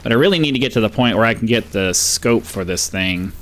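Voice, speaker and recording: A man's voice: lowish voice, lively intonation, brisk. A man hosting a gaming video with casual, easygoing hunting game commentary, thinking aloud in short bursts close to the microphone.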